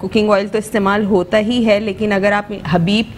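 A middle-aged woman with a Pakistani Urdu accent hosting a TV cooking show, her voice hoarse from a sore throat, chatty and explaining each step as she cooks. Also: medium pitch, fairly even intonation, moderate pace, slight background noise. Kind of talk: live cooking demonstration. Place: TV studio kitchen set.

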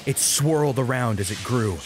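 A man's voice: mid-range voice, moderate pace. A man reading a dramatic action story as an audiobook narrator. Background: music under the voice.

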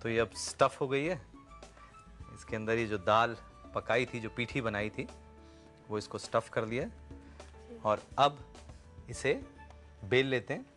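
A middle-aged man hosting a TV cooking show, explaining each step calmly with small pauses, recorded on a lapel mic in a studio kitchen. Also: mid-range voice, faint music behind. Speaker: TV chef and host. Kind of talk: cooking show demonstration with chat.

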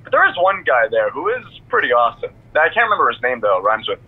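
Cocky, goofy, husky podcaster. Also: male voice, mid-range voice, sing-song, fast, narrow, tinny audio. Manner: boastful, silly, rambling. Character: cocky, goofy wrestler podcast co-host man. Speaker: podcast co-host. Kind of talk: comic podcast banter in a video game. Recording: close, dry radio-like phone sound.

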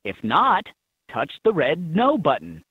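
A woman's voice: deep voice, wildly swooping pitch, unhurried, husky, no echo, full character voice. A woman voicing an encouraging instructor in a children's animal game, giving scripted instructions in slightly dull game audio. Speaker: educational game voice.

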